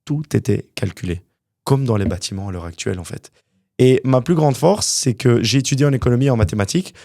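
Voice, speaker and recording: A young man from Canada, a guest entrepreneur telling his story in a casual interview, animated and full of fillers. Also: medium pitch, fast, creaky, no echo, studio quality. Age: young adult.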